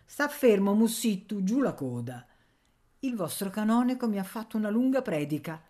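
A woman's voice: mid-range voice, very animated, moderate pace, mellow, voice pushed up, close to an everyday voice. Woman playing an impetuous, moody young male student. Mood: playful. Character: proud, moody young male student, teasing and hot-tempered.

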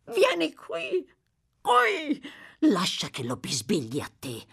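Woman narrator as a terrified old condemned man, audiobook: high, cracking, raving. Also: huge pitch swings, takes it slowly, vocal fry, exaggerated voice. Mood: desperate. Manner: raving, wailing, shouting in terror. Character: frantic old male prisoner awaiting execution.

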